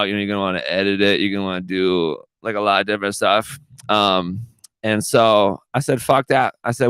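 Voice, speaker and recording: A man's voice: lowish voice, no room sound, clean studio sound. Rambling, swearing young man on a podcast. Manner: profane, laid-back, rambling, says "dude" often. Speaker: podcast host. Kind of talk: rambling casual monologue. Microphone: studio podcast microphone.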